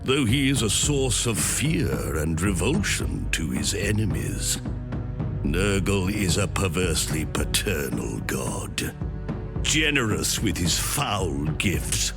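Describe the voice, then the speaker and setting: A grave storyteller narrating an epic fantasy game. His low voice moves slowly and solemnly, with portentous weight. Loud music runs underneath. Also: sing-song, exaggerated voice. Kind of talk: scripted cinematic narration.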